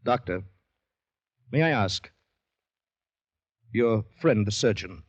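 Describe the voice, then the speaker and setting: An actor playing an uneasy, guarded young man in a measured, grave 1940s radio drama style. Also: on the low side, lively intonation, slightly rough, no room sound, exaggerated voice. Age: young adult. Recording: dull vintage 1940s radio recording.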